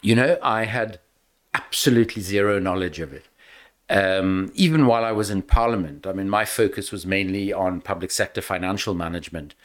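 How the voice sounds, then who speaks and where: Low-voiced South African older man. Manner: measured, emphatic storytelling. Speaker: guest. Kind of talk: podcast interview.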